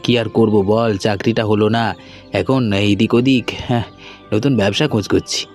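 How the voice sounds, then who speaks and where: A man's voice: fairly low pitch, steady tone, normal speed, darkish tone, close to an everyday voice. A man playing a friendly young businessman in a cartoon, talking in a casual, conversational way. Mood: polite, friendly. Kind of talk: cartoon dialogue acting. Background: background music.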